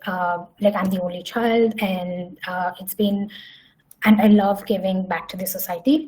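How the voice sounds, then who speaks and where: Young woman presenter, mellow tone, halting delivery with restarts. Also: medium pitch, low-quality recording. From Hyderabad, India. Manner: hesitant, many fillers and restarts. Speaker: summit speaker. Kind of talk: online conference presentation.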